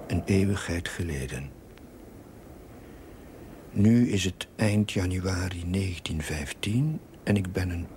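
An actor playing a young, ailing chaplain who reflects wearily and wryly, narrating his diary in a measured, literary way that often sinks to a whisper. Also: deep voice, exaggerated voice. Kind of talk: dramatic diary narration for a television drama. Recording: noisy old 1970s television soundtrack.

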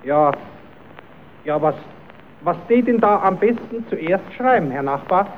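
A high-voiced, cheeky Kasperl rascal. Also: male voice, expressive, unhurried, a little hiss or hum. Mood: naive curious. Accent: southern German. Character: Kasperl, cheeky, quarrelsome, naive male puppet-show rascal. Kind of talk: old radio play, comic dialect acting. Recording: tinny, narrow-band old radio recording.

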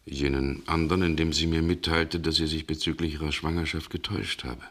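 An actor playing a brooding, haunted Russian gentleman in a German radio drama, speaking gravely and haltingly. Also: extremely low pitch, steady tone. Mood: shaken, solemn. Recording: 1960 radio recording, some background noise.

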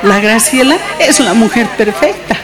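A woman's voice: a little high, normal speed, music in the background, lightly acted. An actress playing a jealous, scheming jilted woman in a radio drama, melodramatic and menacing. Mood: scornful.

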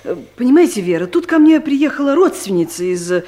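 Female boss, thirties, radio comedy; fairly high voice, formal, awkward, shyly hesitant. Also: sing-song, brisk, mellow. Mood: embarrassed, hesitant. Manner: hesitant, formal, self-conscious. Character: awkward, stiff female director in her thirties, shyly curious. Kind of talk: 1970s Soviet radio comedy acting.

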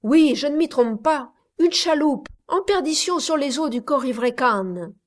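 A woman's voice: on the high side, measured pace, natural voice. A woman voicing a male ship captain in a storybook reading, speaking in firm, declarative sentences and giving orders. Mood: observing.

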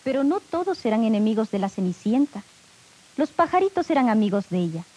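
Woman storyteller for kids, highish voice, music behind, old 78 record narration. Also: big pitch swings, fairly quick, a little mellow, husky, rough recording. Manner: addresses young listeners directly. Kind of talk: scripted children's story narration.

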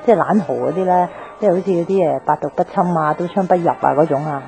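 A woman's voice: lowish voice, quick pace, vocal fry, mellow. An actress playing a brash, nosy young woman drinking at a bar in a radio drama, cheeky and coaxing with a Hong Kong Cantonese accent. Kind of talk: naturalistic radio drama acting. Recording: music behind the voice.

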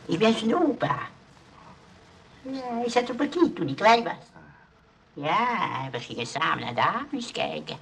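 Radio play role: very old grandpa, ex-burglar, creaky and hesitant, courteous and wistful, faint noise behind. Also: male voice, high-pitched, very animated, measured pace, long pauses, a bit bright, heavily acted. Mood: wistful, pleading. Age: older. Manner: hesitant, repetitive, folksy and courteous.

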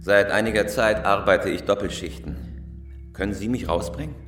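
Male actor as cool career criminal, radio play: low, terse voice, set back in a roomy space. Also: normal speed, a bit bright, faint music behind, natural voice. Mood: feigned confusion. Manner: terse, commanding, unruffled. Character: cool, terse, hardened male professional robber. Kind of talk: radio drama acting. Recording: roomy, distant from the mic.

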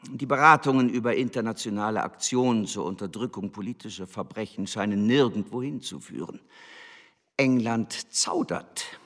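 An actor playing an arrogant, scornful embassy official in a German radio drama, haughty and lecturing. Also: male voice, lowish voice, normal speed, fairly bright tone. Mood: coldly threatening. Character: arrogant, scornful male embassy official berating his spy.

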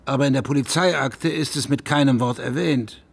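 A man's voice: medium pitch, moderate pace, vocal fry, husky. A man acting in a radio drama as a blunt, insistent police sergeant with a pressing, commanding manner. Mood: businesslike.

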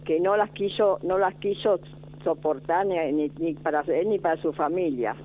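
A woman's voice: lowish voice, moderate pace. Elderly woman over a tinny line. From Italy. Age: 90s. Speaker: school founder, guest. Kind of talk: reminiscing interview. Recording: tinny phone-like line, faint noise.

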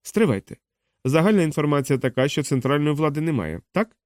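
A man's voice: fairly high pitch, sing-song, fairly quick, a little mellow, no echo, clean studio sound, pitched above the performer's own voice. A man voicing a cautious, doubtful male scientist in an audiobook, careful and questioning. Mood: probing.

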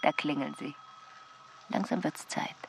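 An actress playing a wilful, excitable woman who relishes mischief, in a radio drama. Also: deep voice, measured pace, vocal fry, very noisy, exaggerated voice. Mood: sly. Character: Margarita, thrilled, wilful woman on a destructive rampage. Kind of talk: radio drama acting and narration.

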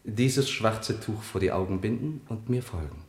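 An actor playing a truth-telling servant and diarist in a German radio play, formal and deliberate with quiet irony. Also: male voice, fairly low pitch, expressive. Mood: calm, in control. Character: politely insolent male servant, the ruler's truth-telling diarist. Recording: roomy, echoing studio sound.

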